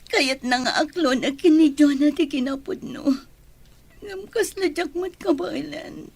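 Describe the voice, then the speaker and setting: A woman's voice: high-pitched, unhurried, vocal fry, darkish tone, no echo. A woman with a Filipino accent playing a troubled, earnest young woman with a guilty secret in a radio drama. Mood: anguished, resolved. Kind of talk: Ilocano radio drama acting.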